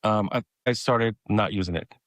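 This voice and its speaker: Low-voiced hesitant man telling his story. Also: normal speed, a bit bright, dry recording, studio quality. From Mexico. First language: Spanish. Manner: hesitant, repeats words and restarts often. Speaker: podcast guest. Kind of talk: personal storytelling in conversation.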